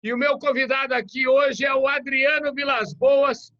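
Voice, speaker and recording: A man's voice: very high voice, fairly even intonation, normal speed. A man hosting a podcast interview in a casual, chatty and jokey way. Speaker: podcast host. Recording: dull lo-fi sound.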